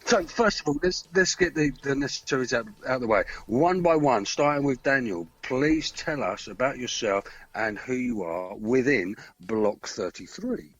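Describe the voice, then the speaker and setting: A man's voice: medium pitch, sing-song, normal speed, few pauses, dry recording, dull audio. Easygoing male radio co-host. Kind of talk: casual live radio chat.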